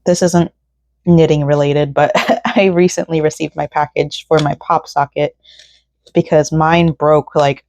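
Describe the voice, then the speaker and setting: A woman hosting a relaxed let's-play while knitting, chatting in a rambling way and laughing often. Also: deep voice, measured pace, dry recording. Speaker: gaming and crafting channel host. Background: faint game music.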